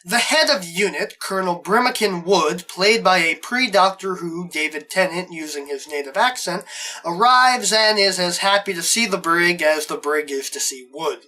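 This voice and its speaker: Bright-voiced man narrating a recap. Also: sits high, expressive, moderate pace. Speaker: host of a review show. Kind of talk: scripted narration, plot recap of an audio drama.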